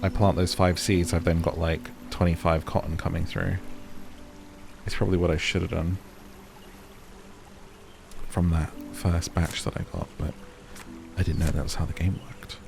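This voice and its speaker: Deep, gravelly man chatting through a game. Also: level tone, normal speed, long pauses, over music. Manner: chatty, musing to himself, addressing viewers. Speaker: gaming video host. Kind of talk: casual gaming commentary, thinking aloud and reading on-screen text.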